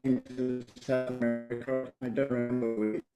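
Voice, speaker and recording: A calm, unhurried man leads a group meditation over a video call and keeps answering with gentle repeated affirmations. His voice sits in an ordinary middle range. The call audio sounds roomy and echoey. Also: mid-range voice, loud music behind the voice. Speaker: spiritual teacher hosting an online meditation. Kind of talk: relaxed group-meeting talk. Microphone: computer mic on a video call.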